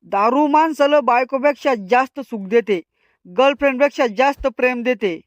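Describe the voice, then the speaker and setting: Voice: sits high, moderate pace, lo-fi sound, close to an everyday voice. A performer voicing a sentimental, argumentative young male drunkard in a cartoon comedy, with a rural Maharashtrian Marathi accent. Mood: maudlin.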